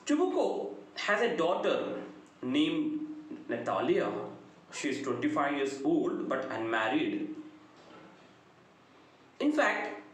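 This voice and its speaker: South indian guy giving a lecture, measured phrases, pitch swings a lot, really echoey room w some noise. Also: very animated. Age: middle-aged. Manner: deliberate, short measured phrases. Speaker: English literature lecturer. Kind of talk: prepared lecture. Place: indoor room, very reverberant.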